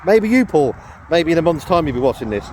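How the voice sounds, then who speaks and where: A middle-aged man with a croaky throat rambles through a casual vlog while out walking. He talks in a loose, chatty way, full of fillers and little laughs. Faint outdoor ambience sits behind his voice. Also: fairly high pitch, sing-song, a little fast, background noise. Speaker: vlogger. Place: outdoors on a walk with a dog.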